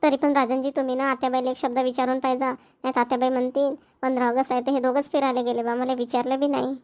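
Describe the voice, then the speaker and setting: Woman playing a high-voiced homely village wife. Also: level tone, quick pace, a little mellow, close to an everyday voice. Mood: teasing, agreeable. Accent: rural Maharashtrian. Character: homely village wife and mother, chatty, agreeable. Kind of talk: cartoon family dialogue.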